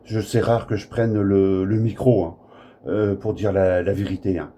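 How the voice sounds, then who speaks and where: A man's voice: low-pitched, level tone, moderate pace, warm tone. A French man chatting as a radio operator in a blunt, colloquial, rambling way. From Brittany, France. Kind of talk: casual radio contact chat. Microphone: smartphone recording in the room. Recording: clear faint background noise, smartphone recording.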